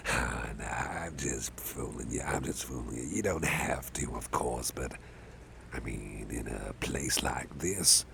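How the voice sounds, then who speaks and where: An extremely deep, gravelly older boss acted out by a man murmurs in a breathy half-whisper, slow and unhurried. He is soft-hearted, protective and a little jokey, rambling gently to the listener in an ASMR roleplay. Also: a little hiss or hum, exaggerated voice. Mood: bashful, teasing.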